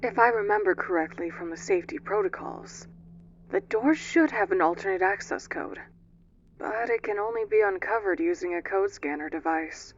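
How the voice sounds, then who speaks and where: A woman voices a soft-spoken young ship's nurse from a space game. The delivery is timid and hesitant, in a medium register with wide movement. The sound is slightly dull. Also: mid-range voice, animated, moderate pace, slight background noise, lightly acted. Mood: cautious. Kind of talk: dramatic fan dub of a video game. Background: music.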